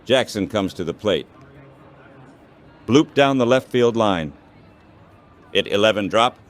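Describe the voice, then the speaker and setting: A man calling baseball play-by-play as an announcer, in short, measured phrases. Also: on the low side, expressive.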